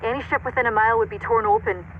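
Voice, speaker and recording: A woman's voice: on the high side, steady tone, racing, natural voice. A woman plays a military intelligence officer in a game cutscene. She briefs in a formal, precise, composed voice, slightly muffled and lo-fi with faint noise. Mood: grave, precise. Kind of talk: cinematic military game acting. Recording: dull band-limited radio-like sound, faint noise.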